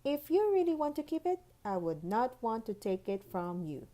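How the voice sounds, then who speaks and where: A woman's voice: on the high side, very animated, moderate pace, warm tone, pitched above the performer's own voice. A woman reading the letters of a courteous, formal tooth fairy in a proper storybook manner. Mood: earnest pleading.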